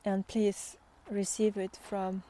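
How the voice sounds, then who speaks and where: Slow, mellow woman speaking tenderly. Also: mid-range voice, little pitch movement. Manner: tender, halting, with long pauses, sighs and soft laughs. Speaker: spiritual teacher and guide. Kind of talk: spontaneous, intimate spiritual talk to viewers. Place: outdoors in nature, walking by foggy fields.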